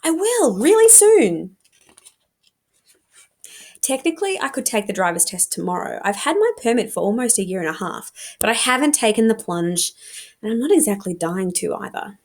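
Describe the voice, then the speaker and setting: A woman reading a young adult novel aloud like a teacher, in an informal, expressive storytelling manner. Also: medium pitch, fairly quick.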